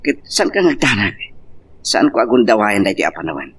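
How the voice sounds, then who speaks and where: A man acting an earnest, lovelorn Filipino man in a romance radio drama. His voice is deep and husky with lively swings in melody, and he speaks persuasively in an Ilocano accent. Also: brisk. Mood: lovelorn, pleading.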